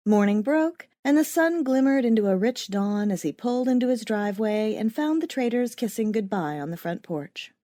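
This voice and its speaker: A woman narrating scripted first-person fiction as an audiobook, with a wry, expressive storytelling manner. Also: a little high, moderate pace, few pauses, no echo, clean studio sound.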